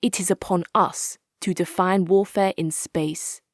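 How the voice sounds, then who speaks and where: An actress playing a hard-nosed, articulate female military commander in a strategy game, speaking in a measured, wry, authoritative way. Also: on the low side, fairly even intonation, no room sound, clean studio sound. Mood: resolute. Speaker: video game faction leader. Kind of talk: dramatic scripted game quotes.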